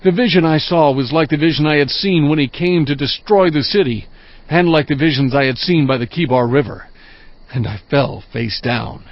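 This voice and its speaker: An actor playing a prophet who recounts his vision in measured, solemn, earnest scripture narration. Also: fairly high pitch, no echo, close to an everyday voice. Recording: dull sound over music bed.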